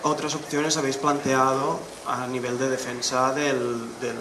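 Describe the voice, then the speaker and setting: A man's voice: mid-range voice, little pitch movement, measured pace. A man from Barcelona with a Catalan accent, asking a hesitant question at a public assembly. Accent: Catalan Spanish. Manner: hesitant, restarting and searching for words. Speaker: audience member asking a question. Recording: roomy, noisy outdoor assembly.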